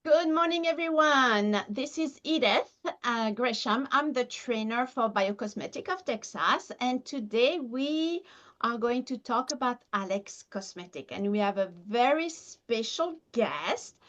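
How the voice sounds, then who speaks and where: A woman's voice: fairly high pitch, animated, unhurried, fairly bright tone, highs cut off. A woman hosting a skincare training webinar over a video call, introducing her guest with enthusiasm. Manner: enthusiastic, encouraging.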